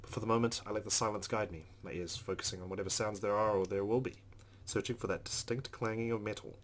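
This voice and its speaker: A man's voice: fairly low pitch, fairly even intonation, quick pace, slight background noise. A man reading a visual novel aloud as dramatic narration for a let's-play.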